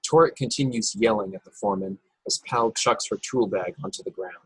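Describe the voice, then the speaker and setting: Very husky, low-voiced male narrator. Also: fairly quick, fairly bright tone, no room sound, poor audio. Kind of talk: reading screenplay directions at a table read. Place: remote video call.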